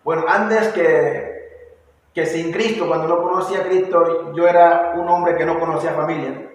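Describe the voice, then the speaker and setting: Panamanian man, 40s, earnest and halting; flat delivery, distant echoey room. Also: on the high side. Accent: Panamanian Spanish. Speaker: church member giving testimony. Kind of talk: personal testimony. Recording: echoey hall, distant mic.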